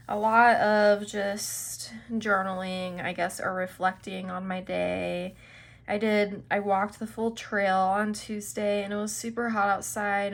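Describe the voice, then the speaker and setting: A young woman, a little stuffed up, chatting casually through a planner flip-through with fillers and small laughs. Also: mid-range voice. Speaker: planner video creator.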